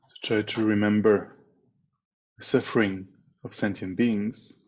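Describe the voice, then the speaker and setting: A man leading a guided meditation as a teacher, speaking in a calm, measured way with long pauses between phrases. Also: fairly low pitch. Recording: dull, muffled online meeting audio.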